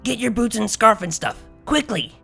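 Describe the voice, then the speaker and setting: A young unicorn mare, voiced by a male narrator, raised in pitch and urgent. She is brisk and conspiratorial, a storybook character with music behind her. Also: lively intonation, creaky, heavily acted. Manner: urgent, hushing.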